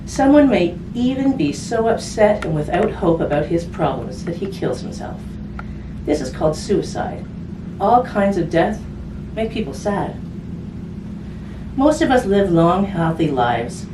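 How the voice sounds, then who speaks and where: A woman's voice: on the low side, big pitch swings, measured pace. A woman reading a picture book aloud to children in a conversational way, with simple casual asides. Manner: conversational, simple phrasing aimed at children, with tag questions. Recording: roomy, distant mic with background noise.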